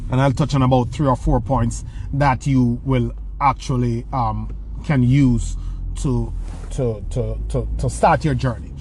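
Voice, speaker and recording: A man's voice: medium pitch, steady tone, measured pace, a little mellow. Jamaican man, rural-raised, mid-range voice; motivational speaker who rambles and repeats himself; faint room noise behind. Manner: rambling, with many repeated words and restarts. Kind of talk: motivational talk answering a question.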